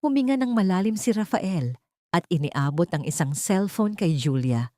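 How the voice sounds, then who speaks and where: A woman's voice: lowish voice, lively intonation, normal speed, warm tone, no room sound, clean studio sound. A woman reading a dramatic scripted story as an audiobook narrator.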